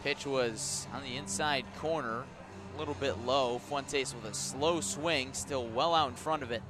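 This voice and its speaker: A man doing live radio play-by-play of a baseball game, with a measured call that rises sharply on big plays. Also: medium pitch, music in the background.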